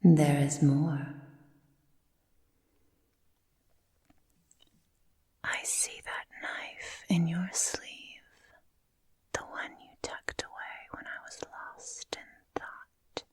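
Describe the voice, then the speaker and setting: Woman acting slow, whispery seductive vampire woman. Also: low voice, steady tone, darkish tone. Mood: teasing menace. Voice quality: breathy, mostly whispered. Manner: slow, deliberate, theatrical, teasing. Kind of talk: ASMR roleplay, intimate monologue. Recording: roomy sound with long reverb.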